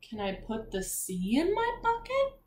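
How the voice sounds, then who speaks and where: A woman voicing an eager, curious little girl in a storybook, excited and full of questions, in an echoey room. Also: high voice, a little slow, far from the mic, pitched above the performer's own voice, exaggerated voice. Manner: bright-eyed, excited, questioning. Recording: echoey room with faint noise.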